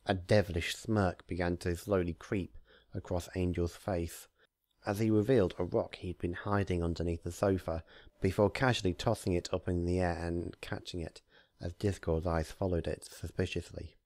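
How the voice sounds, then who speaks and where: A man reading a story aloud as narrator, in an expressive scripted storytelling style. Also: deep voice, normal speed, no room sound, clean studio sound. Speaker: narrator, fan fiction reader.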